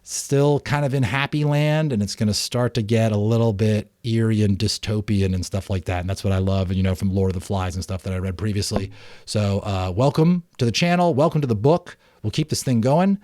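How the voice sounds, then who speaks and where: Man, middle-aged, low mellow voice, dry close sound, casual book-club host chat. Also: a little fast, no room sound. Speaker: audiobook reader and channel host. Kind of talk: dramatic book reading with casual live commentary.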